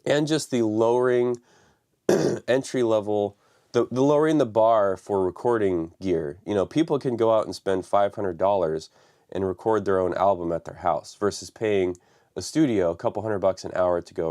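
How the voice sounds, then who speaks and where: A man hosting a live stream from his home studio, raspy from the flu and talking loosely with many fillers. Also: on the low side, moderate pace, darkish tone. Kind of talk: casual live stream talk.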